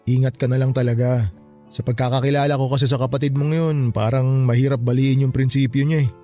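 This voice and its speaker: An actor playing a smooth-talking, scheming secret lover with a Filipino accent in a radio drama. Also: male voice, medium pitch, flat delivery, quick pace, dark tone, faint music behind, lightly acted. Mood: coaxing, scheming. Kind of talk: Tagalog radio drama acting.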